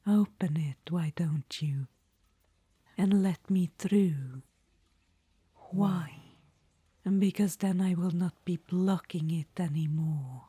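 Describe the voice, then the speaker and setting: A woman's voice: lowish voice, slow, long pauses, warm tone, husky, no echo, full character voice. A woman voicing an imperious, fond undead witch-queen in an ASMR roleplay, whispering throughout and calling the listener darling. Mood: haughty. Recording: dry close-mic whisper.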